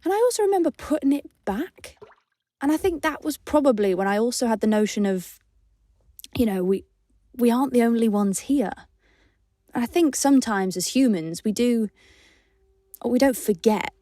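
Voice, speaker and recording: A woman's voice: fairly high pitch, animated, moderate pace, pauses often, mellow, dry recording, studio quality. A woman sharing personal memories in an earnest, conversational, emphatic way, reflecting as she speaks.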